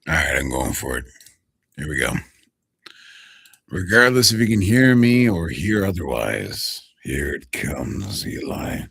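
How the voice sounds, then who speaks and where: Gravelly deep-voiced streamer chatting. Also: male voice, very animated, unhurried, a bit bright, dry recording, clean studio sound. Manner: chatty, talks straight to a viewer. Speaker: voice actor streamer. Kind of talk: casual livestream chat.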